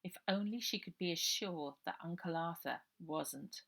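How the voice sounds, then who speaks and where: Female storyteller for middle-grade fiction, lowish pitch with a creaky edge. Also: normal speed, fairly bright tone. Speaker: author reading own novel aloud. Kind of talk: children's story narration, unedited.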